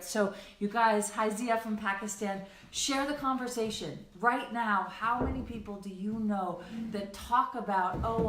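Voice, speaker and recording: A woman's voice: medium pitch, a little hiss or hum. A woman with a mid-range voice co-hosts an online coaching session. She chats informally and gushes with enthusiasm, rambling along and checking in with listeners. The sound is roomy and echoing. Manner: giggly, gushing, rambling with frequent "right" and "like". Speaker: co-host of a coaching livestream. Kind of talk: enthusiastic casual chat to an online audience.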